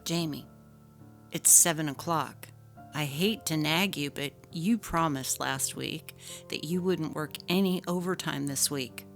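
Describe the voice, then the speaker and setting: A woman's voice: sits low, unhurried. A woman voicing a patient, neglected husband who pleads earnestly in a dramatic story reading. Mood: hurt, reproachful. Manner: earnest, gently reproachful. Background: faint music.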